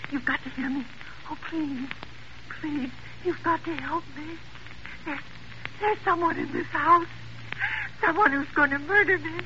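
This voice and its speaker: Panicked woman in old radio drama, really high, stammering, muffled noisy recording. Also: pushed and bright, full character voice. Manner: stammering, repeating, melodramatic. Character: terrified, frantic woman alone, pleading on the telephone. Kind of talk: 1940s radio acting.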